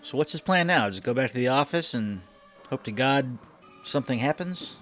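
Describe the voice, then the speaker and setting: A man's voice: mid-range voice, animated, moderate pace. A man hosting a reaction video, giving casual, informal commentary and talking back to what he watches. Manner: informal, talks back to the show. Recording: old TV soundtrack playing behind. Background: music and dialogue of an old TV show.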